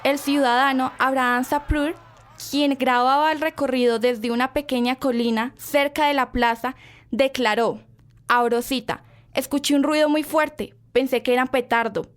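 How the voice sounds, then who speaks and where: A woman acts as a female radio newsreader of the 1960s, with a high voice. She announces breaking news formally and urgently, in scripted bulletin style for an audio drama. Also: fairly even intonation, moderate pace, natural voice.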